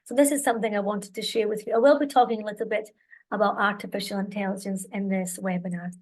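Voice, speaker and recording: A woman's voice: medium pitch, steady tone, fast talker. A middle-aged Scottish woman presenting a live teacher webinar, chatty and encouraging, checking in often with her audience. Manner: chatty, encouraging, lots of "all right" and "okay" check-ins.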